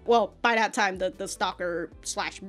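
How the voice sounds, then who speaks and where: A woman's voice: mid-range voice, measured pace, fairly bright tone, faint music behind. An American woman hosting a reaction video with casual, unscripted commentary in an informal, sweary, emphatic way. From United States.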